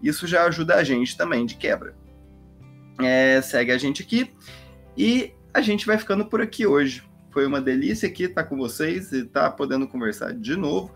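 A man's voice: on the high side, animated, normal speed, creaky, fairly bright tone, soft background music. Chatty Brazilian man from Minas Gerais. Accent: Minas Gerais Portuguese. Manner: rambling, restarts sentences, casual. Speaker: podcast co-host. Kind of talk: relaxed podcast chat and sign-off.

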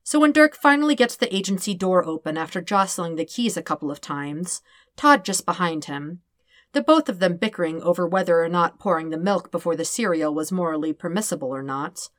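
A woman reading fan fiction aloud as an expressive narrator. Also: on the low side, brisk, dry recording, clean studio sound. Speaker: podfic reader.

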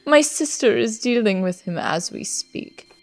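A woman's voice: a little high, expressive, unhurried, dry recording, faint music behind. An actress playing a seductive, mocking witch villain in a fantasy audio drama, with a teasing, theatrical drawl. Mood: smug, threatening.

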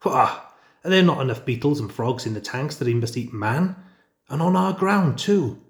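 A man voicing a proud, gruff father wolf who speaks stiffly and angrily in a storybook reading. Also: on the low side, steady tone, close to an everyday voice. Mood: outraged. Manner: stiff, forceful, quick to anger.

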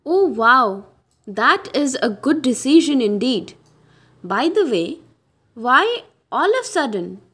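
A woman playing a gentle, hopeful wife awaiting her husband in an amateur radio drama, polite and hesitant. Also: high voice, very animated, slow pace, husky, heavily acted. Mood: pleasantly polite. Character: Shaila Rathore, gentle, hopeful waiting wife, in denial.

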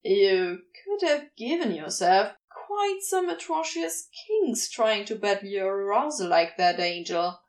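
Woman as a slow-drawling, sardonic male demon. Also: mid-range voice, big pitch swings, pitched below the performer's own voice. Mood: amused, incredulous. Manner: teasing, sardonic, casual. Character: teasing, sardonic male demon, wily and affectionate. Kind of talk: dialogue in a fan fiction audio reading.

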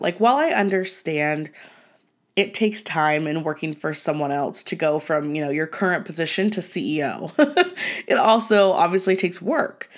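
Young woman, podcast host, nasal from a cold, blunt and profane, lowish voice. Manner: blunt and profane, with frequent ums and laughs. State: has a cold, slightly nasal. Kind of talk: casual solo podcast monologue.

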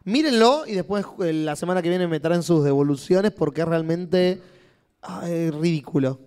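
Blunt, high-pitched Argentine man. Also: moderate pace, a little mellow, clean tone. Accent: Argentine Spanish. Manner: blunt, exasperated, argumentative. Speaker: talk show co-host. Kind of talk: casual chat.

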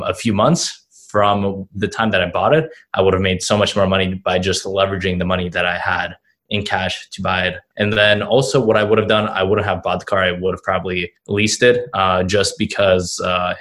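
A man's voice: sits low, fairly bright tone. A nineteen-year-old man from Russia, answering interview questions casually, rambling with lots of fillers like "you know" and "right?". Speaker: guest entrepreneur.

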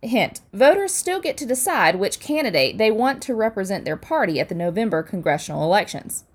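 Lively woman reading a news digest. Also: medium pitch, fairly quick, slightly rough. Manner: conversational, punchy delivery with wry asides. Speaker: news podcast host. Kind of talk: scripted news digest narration.

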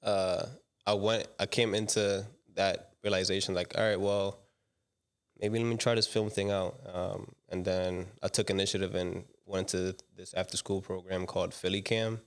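A young man from the Dominican Republic talks as a guest in a casual interview. His voice sits low and stays fairly flat. He tells his story in a loose, slangy way, full of fillers. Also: moderate pace. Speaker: guest, commercial director.

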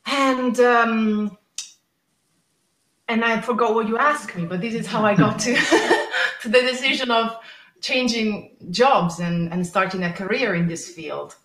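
A woman's voice: a little high, unhurried, fairly bright tone. Emotional woman who stammers, echoey room. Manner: open, emotional, stammers at times. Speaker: guest, speech and language therapist. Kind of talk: podcast conversation.

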